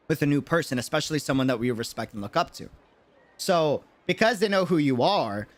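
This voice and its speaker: A man from New York City with an American accent, chatting casually on a game livestream in a rambling, slangy, animated way. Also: a little high. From New York City, United States. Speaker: game streamer. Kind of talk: casual livestream chat and reactions.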